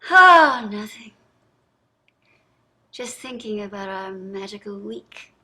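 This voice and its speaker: A wealthy older wife in a stage drama, played by an actress. Her voice is bright and cutting, mid-pitched for a woman. She is weary and sardonic, and lands her points with dramatic wryness. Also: animated, takes it slowly. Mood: wistful. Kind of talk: staged play reading.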